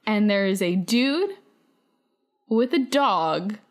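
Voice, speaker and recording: A woman's voice: medium pitch, animated, takes it slowly. A woman co-hosting a podcast, chatting and telling personal stories informally with droll reactions. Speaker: podcast co-host. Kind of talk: casual chat and personal storytelling.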